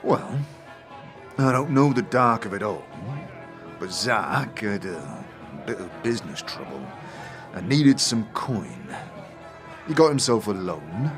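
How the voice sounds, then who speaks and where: Casting: big bald market trader, jovial and chuckling, very animated delivery, music underneath. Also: male voice, lowish voice, slow. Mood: friendly, folksy. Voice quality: hearty, chuckling. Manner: rustic fantasy street slang, folksy. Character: huge bald burn-scarred blustery jovial male merchant. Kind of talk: acted fantasy audio drama.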